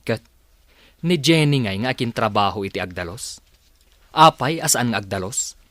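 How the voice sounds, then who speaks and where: A concerned, questioning father played by a man in a radio comedy drama. His mid-pitched voice swings expressively, recorded close and dry. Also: expressive, normal speed, fairly bright tone, no room sound, lightly acted. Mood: probing.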